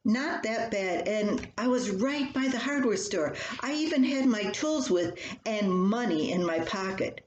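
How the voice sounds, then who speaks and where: A woman's voice: mid-range voice, animated, unhurried, hardly any pauses, dull audio, voice pushed up, lightly acted. A woman voicing a giggly, upbeat husband telling a story of a breakdown in an amused, emphatic way. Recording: roomy echo, faint background noise.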